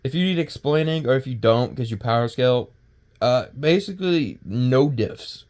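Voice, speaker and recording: Man doing halting, rambling casual video commentary. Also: medium pitch, animated, a little mellow. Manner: halting, rambling, stumbles over words and restarts. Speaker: video creator and host. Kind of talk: casual unscripted power-scaling commentary.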